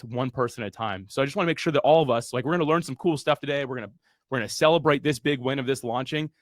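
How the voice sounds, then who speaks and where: A man's voice: medium pitch, dry recording. Man, webinar host, earnest, motivational, dry sound. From USA. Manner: earnest, motivational, casual fillers. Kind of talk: webinar launch party hosting.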